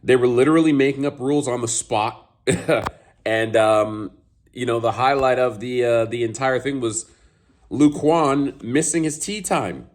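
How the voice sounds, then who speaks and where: A man's voice: mid-range voice, a little slow. A man in his thirties with a slightly scratchy voice from a cold, chatting casually about golf in a home garage, with many fillers and restarts. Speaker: golf YouTuber. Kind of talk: casual vlog commentary.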